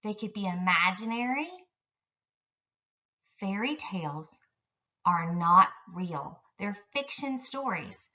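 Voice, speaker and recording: Bright-voiced woman teacher, slow and lively. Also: medium pitch, far from the mic, lo-fi sound. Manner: careful, simple phrasing aimed at children. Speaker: elementary school teacher. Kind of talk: instructional video lesson for young children.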